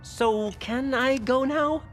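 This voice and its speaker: A woman's voice: sits high, slow, faint music behind. A woman acting a cocky but flustered impostor superhero in a video game, boastful and defensive. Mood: flustered bluster.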